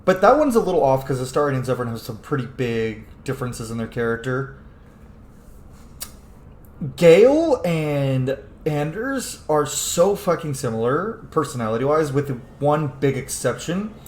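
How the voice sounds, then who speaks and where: A man's voice: mid-range voice, sing-song, moderate pace, darkish tone. A man giving casual, opinionated game commentary as he plays, swearing freely. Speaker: gaming streamer playing and commenting. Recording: roomy echo with faint noise.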